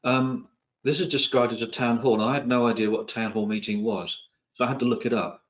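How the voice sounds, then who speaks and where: A middle-aged man from England, his accent softened by years in New Zealand, giving an online talk as a psychiatrist, with many ums, restarts and dry asides. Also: mid-range voice, steady tone, brisk, muffled recording. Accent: English, with some New Zealand influence. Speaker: psychiatrist and presenter. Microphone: video call.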